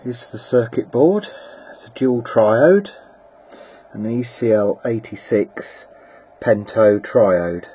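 A man giving a casual, plain-spoken and slightly halting hobbyist demonstration, presenting his own equipment. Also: lowish voice, dark tone. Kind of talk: casual hobbyist demo narration. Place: indoors at a workbench. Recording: clear background noise, amateur camera audio.

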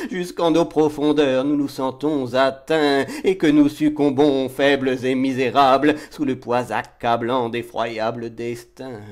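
A man reciting poetry in a dramatic, declamatory and impassioned way, swelling from hushed to forceful phrases. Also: medium pitch. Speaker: poetry reader.

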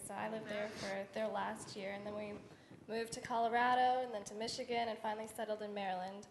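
A young woman in her twenties cheerfully introducing herself in a church hall. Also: mid-range voice. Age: 20s. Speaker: guest's wife. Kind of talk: self-introduction. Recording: echoey hall, light noise.

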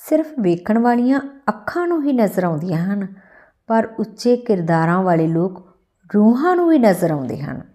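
A woman reads a scripted inspirational piece as an audiobook narrator, in measured, declamatory short phrases. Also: fairly high pitch, a little mellow.

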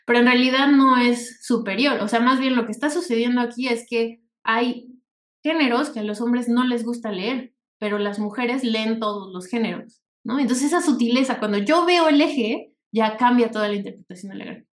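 A woman's voice: fairly high pitch, normal speed. A woman with a Mexican Spanish accent giving an informal, explanatory lecture over slides, conversational and often checking in with rhetorical questions. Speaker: workshop instructor. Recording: echoey room sound.

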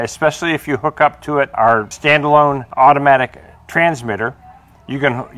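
A middle-aged American man presents a product informally. His voice sits at a medium pitch, and he explains things in a plainspoken, practical way. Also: fairly bright tone. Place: small indoor workshop or showroom.

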